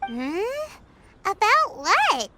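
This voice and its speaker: A woman voicing a tiny, childlike, eager fairy companion in a video game, squeaky and perky. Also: huge pitch swings, extremely slow, background noise, heavily acted. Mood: puzzled. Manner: perky, refers to self in third person. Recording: music behind the voice.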